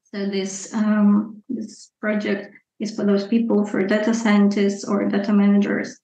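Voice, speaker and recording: A woman in her thirties from eastern Ukraine with a Ukrainian accent, answering interview questions hesitantly with many pauses and ums. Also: medium pitch, completely flat delivery, warm tone, reverberant, rough recording. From Luhansk, eastern Ukraine. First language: Russian. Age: 30s. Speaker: guest.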